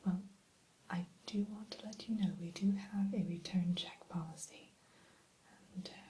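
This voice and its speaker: A woman playing a polite, primly formal evil queen shopkeeper in a soft, unhurried ASMR whisper. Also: mid-range voice, steady tone, slight background noise, natural voice. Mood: courteous, businesslike. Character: genteel evil queen running an enchanted mirror shop. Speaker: shopkeeper character in ASMR roleplay.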